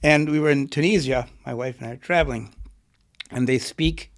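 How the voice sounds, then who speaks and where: A man's voice: mid-range voice, moderate pace. A middle-aged man, an author and poet, being interviewed and thinking aloud with a reflective, rambling manner. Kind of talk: conversational interview.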